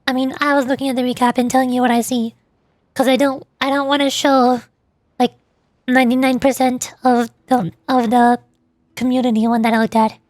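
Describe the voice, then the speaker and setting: A woman's voice: high voice, level tone, brisk, dry recording, clean studio sound. A woman livestreamer chatting casually with her viewers in a rambling, playful way. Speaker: VTuber streamer. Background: soft music.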